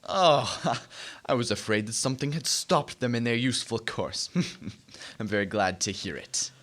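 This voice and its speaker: A man performs a miserly old man in a radio play, with a bright, forceful voice at middle pitch. He is curt and scornful, barking out his contempt with sarcastic bite. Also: measured pace, lightly acted. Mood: cold, sardonic. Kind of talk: radio drama acting.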